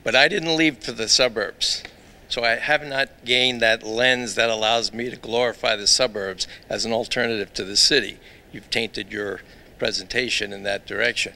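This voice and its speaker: A man's voice: mid-range voice, fairly even intonation, moderate pace, sharp tone. A man with a Brooklyn accent, an academic in the audience, who puts a pointed and wry challenge to the speaker. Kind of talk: challenging question from the floor.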